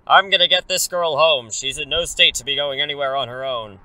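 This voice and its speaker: A man's voice: fairly high pitch, a little fast, pushed and bright, low-quality recording. A man playing a wordy superhero in his thirties who is secretly an English teacher, theatrical but easily flustered, in a comedic audio drama. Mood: bluffing, insistent. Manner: glib, wordy, awkward when cornered.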